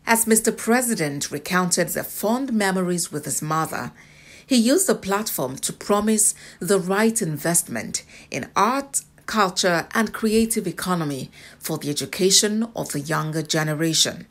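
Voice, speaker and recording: A woman's voice: lowish voice, animated, normal speed. A woman with a Nigerian accent reading a scripted news report.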